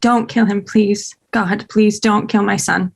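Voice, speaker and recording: A woman's voice: medium pitch, fairly even intonation, unhurried, gravelly, breathy edge. A woman reading a commanding politician mother. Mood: pleading. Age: 50s. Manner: sharp clipped orders turning to pleading. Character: fifties congresswoman mother, commanding and frantic. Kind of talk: screenplay table read.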